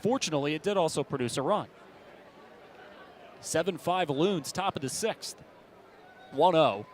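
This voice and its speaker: An American man works as a baseball play-by-play announcer, narrating in clipped present-tense phrases. His voice sits a little high, and there is some ballpark noise behind him. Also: expressive, normal speed, some chatter behind. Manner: clipped present-tense narration, swelling into shouts on big plays. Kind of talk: live sports commentary. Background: outdoor stadium background noise.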